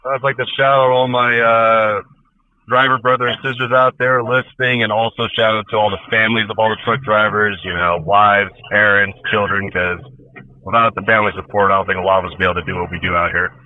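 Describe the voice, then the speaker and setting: A man's voice: lowish voice, flat delivery, fairly quick. An American man, a truck driver guest, giving casual, plain-spoken and slightly hesitant remarks over a phone line. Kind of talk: casual chat, parting shout-outs. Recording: tinny phone-like radio line.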